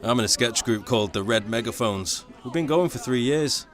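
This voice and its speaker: An actor playing an earnest, good-humoured young working-class political songwriter, in a radio play. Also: male voice, medium pitch, animated, normal speed, fairly bright tone, soft background music, close to an everyday voice. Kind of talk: radio play acting and narration.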